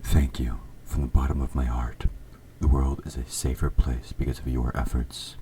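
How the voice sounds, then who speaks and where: A man playing an evil king in an audio roleplay, speaking to the listener in a formal, measured, politely sinister way. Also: very deep voice, level tone, mellow. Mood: gracious, probing. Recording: faint background noise.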